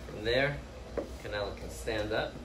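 A man in his thirties, a fitness trainer coaching a home workout demonstration in a relaxed, instructive way with many filler sounds, recorded outdoors on a backyard patio by a distant camera mic. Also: lowish voice, moderate pace. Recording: roomy with outdoor noise.

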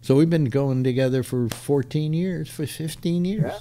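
An older man hosting a casual video-call chat, rambling with halting restarts and self-mocking jokes. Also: a little high, dark tone. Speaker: host. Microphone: webcam on a video call.

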